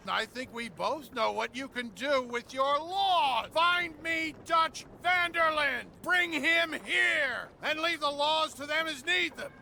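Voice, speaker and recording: An actor playing a haughty, ruthless business tycoon in a video game, speaking in a pompous, formal, commanding way. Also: male voice, very high-pitched, takes it slowly, vocal fry, hardly any pauses, bright, forceful tone, slight background noise, heavily acted. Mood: angry, commanding. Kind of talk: video game cutscene acting. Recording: music behind.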